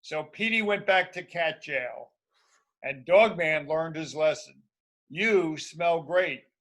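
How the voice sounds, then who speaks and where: A man reading a storybook aloud to schoolchildren in a deliberate, emphatic, expressive way. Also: a little high. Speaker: children's storybook reader.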